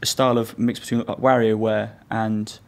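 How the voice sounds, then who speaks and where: Man, team leader; lowish, flat, hesitant and rambling. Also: normal speed, gravelly. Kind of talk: casual interview answers.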